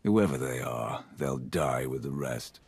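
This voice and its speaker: An actor playing a cold, ruthless Sith warrior in a video game, with a gravelly voice and a terse, commanding manner. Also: male voice, a little slow, darkish tone, full character voice. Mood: cold, determined. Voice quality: gravelly, very deep. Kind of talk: dramatic video game acting.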